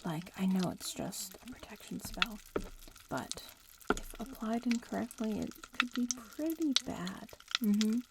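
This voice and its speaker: A woman playing a bratty young witch in training in a soft-spoken ASMR roleplay, casually bossy and teasing but reassuring. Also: mid-range voice, animated, measured pace, gravelly, heavy background noise. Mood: matter-of-fact, cautioning. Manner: casual, teasing, matter-of-fact instructions. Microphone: close-up ASMR microphone.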